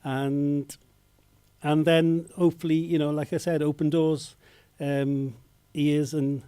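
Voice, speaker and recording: A filmmaker speaks at a festival Q&A in a fairly high but mellow voice. He stops often, restarts his sentences and searches for words. The sound is close and dry. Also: little pitch movement, takes it slowly, clear, resonant tone, dry recording. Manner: hesitant, many fillers and restarts. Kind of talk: festival Q&A answers. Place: cinema Q&A stage.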